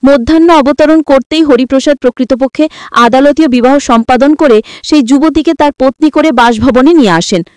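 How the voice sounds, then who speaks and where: A woman's voice: high-pitched, moderate pace, darkish tone, no echo. A woman narrating a scripted moral story in formal, literary wording, as a dramatic storyteller.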